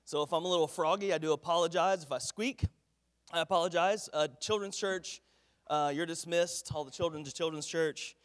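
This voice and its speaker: A man's voice: fairly high pitch, fairly even intonation, brisk. A man preaching a folksy, earnest sermon while congested with a head cold. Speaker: pastor. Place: church sanctuary. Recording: echoey church hall.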